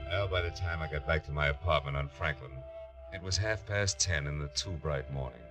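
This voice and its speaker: An actor playing a wry, world-weary private detective in a 1940s radio noir drama, with clipped, slangy delivery. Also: male voice, extremely low pitch, brisk, over loud music. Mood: weary, dreamy. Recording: old-time radio drama recording.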